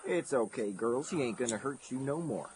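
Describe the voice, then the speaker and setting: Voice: medium pitch, animated, normal speed, noisy background, close to an everyday voice. An actor voicing a cocky, mocking turkey vulture with a theatrical, folksy drawl in an audio horror comedy. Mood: taunting, folksy.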